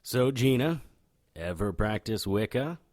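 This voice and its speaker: Low-voiced, animated, wisecracking detective. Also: male voice, a little slow. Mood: cocky probing. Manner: wry, noir-style, irreverent. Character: wisecracking, cocky male occult private investigator. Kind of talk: audio drama dialogue and first-person narration.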